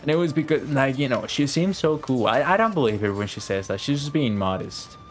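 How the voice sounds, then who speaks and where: A man's voice: medium pitch, animated, brisk. A man giving casual live-stream game commentary in slangy, chatty language with plenty of swearing. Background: game music.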